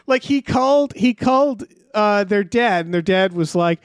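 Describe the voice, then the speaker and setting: A man's voice: sits high, expressive, normal speed, smooth, dry recording, studio quality. A man co-hosting a podcast in a casual, comedic recap style, rambling and incredulous, with some offhand swearing. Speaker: podcast co-host.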